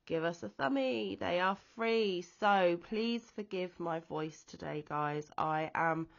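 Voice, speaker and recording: A woman from the UK who is ill and wheezy with a chesty cough, chatting casually off camera about a crochet magazine with frequent pauses and ums. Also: on the low side, creaky, dull audio. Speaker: crochet vlogger. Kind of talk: casual show-and-tell chat. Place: at home, off camera.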